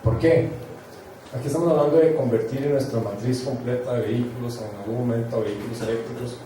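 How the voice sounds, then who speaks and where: A man's voice: medium pitch, steady tone, brisk, mellow. A male university researcher, explaining figures on a conference panel with frequent fillers. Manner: explanatory, self-correcting with fillers. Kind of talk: panel talk with statistics. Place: large hall. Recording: echoey hall, far from mic, noisy.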